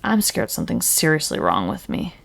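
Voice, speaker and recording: A woman's voice: very deep voice, big pitch swings, fairly quick, creaky, pitched below the performer's own voice, full character voice. A woman playing an anxious, worn-out thirty-nine-year-old female client in a mock counseling roleplay, speaking in a weary, hesitant way.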